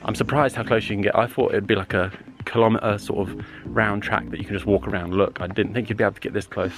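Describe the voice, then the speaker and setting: A man's voice: lowish voice, quick pace, loud music behind the voice. A young man chatting casually to a camera held at arm's length, narrating a travel vlog outdoors and in a campervan, with loose fillers. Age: young adult. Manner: relaxed, chatty, hesitant with fillers. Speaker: travel vlogger. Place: outdoors at a desert rock site and in a campervan.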